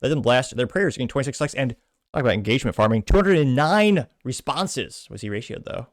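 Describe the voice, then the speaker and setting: A man's voice: mid-range voice, expressive, fairly quick, dry recording, studio quality. A man hosting a commentary show, casually reading comments aloud with rambling asides and self-corrections. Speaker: host.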